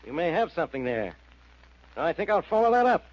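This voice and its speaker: An actor playing a blustering, impatient police inspector in 1940s radio style. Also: male voice, on the high side, sing-song, fairly quick. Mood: businesslike. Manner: gruff, impatient, emphatic. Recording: dull old radio recording.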